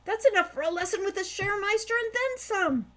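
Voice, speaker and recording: A woman voicing a bold, impatient sixteen-year-old boy in an audiobook, with a firm, brisk delivery. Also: very high-pitched, expressive, sharp tone, pitched above the performer's own voice, full character voice. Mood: excited. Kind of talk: audiobook character voice.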